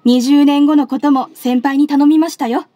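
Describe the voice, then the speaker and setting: An actress playing an earnest, respectful young female secretary in a Japanese anime game, speaking politely and with measured care. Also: high voice, fairly even intonation, close to an everyday voice. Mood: warm, heartfelt. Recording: studio voice over game music. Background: soft background music.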